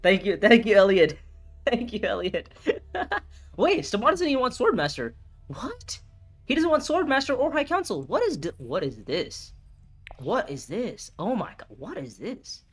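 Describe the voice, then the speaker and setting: A man in his early twenties streaming a board game, thinking his moves aloud, reacting loudly and swearing at bad turns. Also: high voice, very animated, measured pace. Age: 20s. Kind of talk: live gameplay commentary, thinking aloud.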